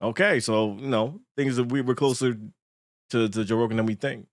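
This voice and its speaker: A man's voice: medium pitch, steady tone, normal speed, no echo, clean studio sound. A man hosting a casual livestream podcast, joking and swearing as he chats. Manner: joking, profane banter. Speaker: podcast host. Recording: music playing behind. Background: background music.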